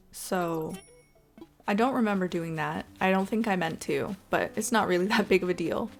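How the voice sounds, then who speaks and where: A woman giving casual, chatty and expressive voice-over commentary as she plays a video game. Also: mid-range voice. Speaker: gaming video creator. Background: background music.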